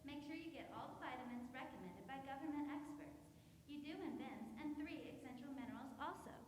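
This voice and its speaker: A woman's voice: high voice, a little fast, darkish tone, close to an everyday voice. A woman announcing a scripted, old-style radio commercial. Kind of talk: 1940s radio commercial reading. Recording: echoey hall, faint noise.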